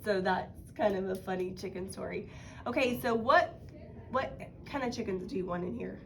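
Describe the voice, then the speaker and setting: A woman's voice: mid-range voice, moderate pace, vocal fry, fairly bright tone, a little hiss or hum. A woman teaching a casual one-on-one writing lesson, encouraging and guiding with questions. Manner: encouraging, explains by prompting with questions.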